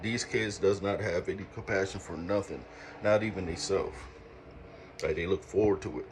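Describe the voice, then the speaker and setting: A man's voice: low-pitched, moderate pace, distant mic, a little hiss or hum. A man in his late thirties speaking in an earnest, reflective monologue, often circling back over his words with repeated phrases. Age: 30s. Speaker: speaker sharing life lessons.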